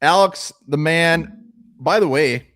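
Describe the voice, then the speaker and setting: A man's voice: fairly high pitch, slow, a bit bright. A man co-hosting a live show, upbeat and joking as he hypes up his guest. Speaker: co-host. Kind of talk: casual live show chat.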